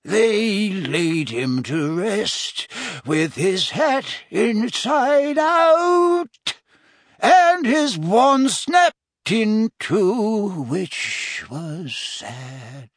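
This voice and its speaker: A man's voice: a little high, big pitch swings, slow pace, creaky, fairly bright tone, breathy edge, dry recording, studio quality, voice pushed up, heavily acted. A man voicing a tipsy, weepy old male potions master who talks in an emotional, fretful way with hiccups. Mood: plaintive singing. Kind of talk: audiobook character voice.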